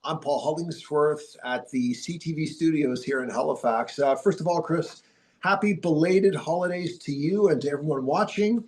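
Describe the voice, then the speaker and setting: A man's voice: fairly high pitch, normal speed, a little mellow. Stuffy-voiced male sports podcast host. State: stuffy from a cold. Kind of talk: casual sports podcast chat. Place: TV studio.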